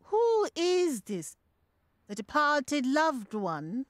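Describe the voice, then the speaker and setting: A woman's voice: sits high, wildly swooping pitch, drawn-out words, no room sound, full character voice. A woman playing a mysterious, coaxing spirit medium in an audio ghost story, with a dramatic, portentous delivery. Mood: probing, intrigued. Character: theatrical female spirit medium, mysterious, coaxing and self-assured.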